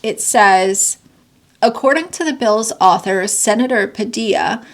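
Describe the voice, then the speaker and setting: A woman's voice: medium pitch, measured pace. A woman with a congested, nasal voice, giving blunt, sarcastic commentary and reading news excerpts aloud. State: congested, getting sick. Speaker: commentary youtuber. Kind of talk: opinionated commentary.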